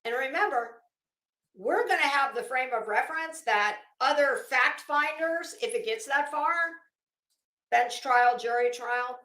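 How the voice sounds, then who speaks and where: An American woman hosting a commentary show, reading documents aloud dramatically and adding casual, emphatic asides with drawn-out phrasing. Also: high-pitched, pushed and bright, roomy sound, rough recording.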